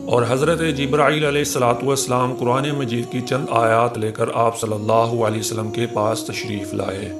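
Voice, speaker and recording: A man reading a scripted religious history narration in a formal, reverent manner. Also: mid-range voice. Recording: music behind the voice. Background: music.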